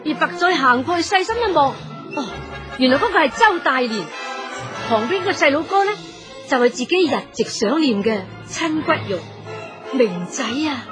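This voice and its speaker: A woman narrating a radio drama as a storyteller, dramatic and expressive, addressing her listeners. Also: sits high, moderate pace, music in the background.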